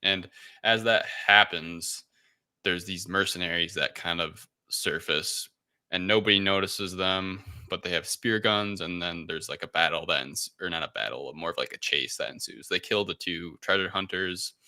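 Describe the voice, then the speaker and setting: A man hosting a casual film review discussion, recapping in a halting, hedging way. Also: low-pitched, sharp tone. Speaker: podcast host.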